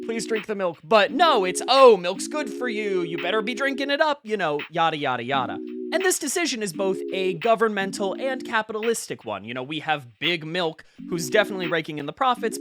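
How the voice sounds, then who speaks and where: An earnest conspiracy podcast host played by an actor speaks in a mid-range voice with a crackly fry. The delivery is wry and conversational, treating absurd theories with mock seriousness. Intonation moves widely, leaning hard on key words. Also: medium pitch, close to an everyday voice. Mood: animated, skeptical. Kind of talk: podcast monologue, conspiratorial rant.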